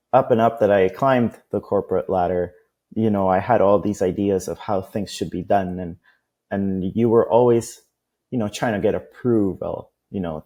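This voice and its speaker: A man's voice: on the low side, mellow, dull audio. A man from Costa Rica, a guest on a business interview, talking at length in a loose, rambling way. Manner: talkative, many fillers and restarts.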